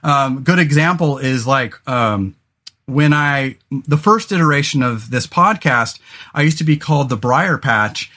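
A man's voice: medium pitch, animated, a little slow, sharp tone. Rambling, swearing man in his forties. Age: 40s. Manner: rambling, many fillers and restarts, swears casually. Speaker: podcast host. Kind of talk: casual podcast chat.

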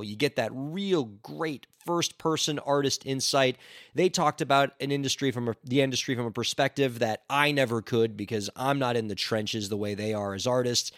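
A man hosting his own podcast in an upbeat, chatty and earnest way, his voice hoarse from laryngitis. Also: medium pitch, a bit bright, no room sound, studio quality. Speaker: podcast host. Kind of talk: casual solo podcast talk.

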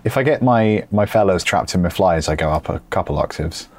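A man with a lowish voice trading dry, sarcastic banter on a podcast. He teases his co-host in an easy, deadpan way. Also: brisk. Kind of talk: casual chat. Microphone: remote call setup.

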